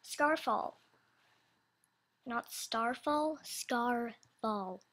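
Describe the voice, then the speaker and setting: A young woman with a high, youthful, slightly childish voice talks casually and off the cuff. She speaks slowly, rambling and hesitating as she searches for the right words. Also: a bit bright. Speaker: video creator announcing animation voice auditions. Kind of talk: casual unscripted chat.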